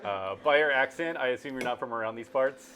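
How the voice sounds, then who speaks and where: A man interviewing someone in a casual chat, with a halting, laid-back way of talking. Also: medium pitch, background noise.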